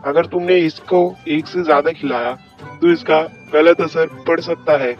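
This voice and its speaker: A man's voice: fairly high pitch, normal speed, lightly acted. A man playing an old street peddler of magic sweet pills in a cartoon moral story. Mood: assuring, cautioning. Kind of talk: Hindi cartoon storybook acting. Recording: dull lo-fi sound with music.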